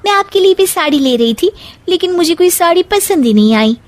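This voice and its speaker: Young cartoon daughter-in-law, played by a woman: very high voice, dry close sound, polite, sweet, quick-witted. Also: expressive, no echo. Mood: chatty. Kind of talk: Hindi animated comedy story acting.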